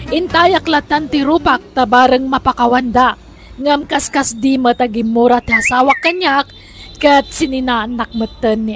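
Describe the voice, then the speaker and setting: High-voiced Filipino woman narrating. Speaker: radio drama host and narrator. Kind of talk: scripted dramatic narration. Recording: music bed under narration.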